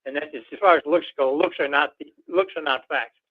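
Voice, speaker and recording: A man's voice: fairly high pitch, normal speed. A man calling a radio show over a phone line, chatting about politics in a rambling, folksy way full of filler phrases. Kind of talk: casual political phone-in chat. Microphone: telephone. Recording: tinny narrowband phone line.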